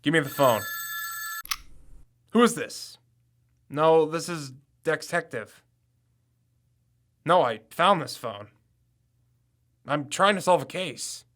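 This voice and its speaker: An actor playing a brash, suspicious young amateur detective in an audio drama, with pointed, accusatory questioning. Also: male voice, on the high side, expressive, slow, long pauses, a bit bright. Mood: suspicious, determined. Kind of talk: scripted audio drama acting.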